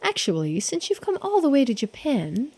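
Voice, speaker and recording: A woman reading a polite, measured and helpful local man in a video game, with formal phrasing. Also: sits high, wildly swooping pitch, a little mellow, voice pushed up, full character voice. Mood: courteous. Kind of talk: video game character read-aloud.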